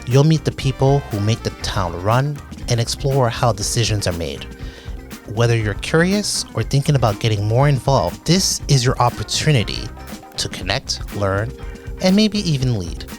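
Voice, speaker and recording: A man's voice: medium pitch, very animated, normal speed, faint music behind. A man hosting a local town program, introducing a guest and reading announcements in a friendly, conversational way, with occasional stumbles. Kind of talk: local cable interview and announcements.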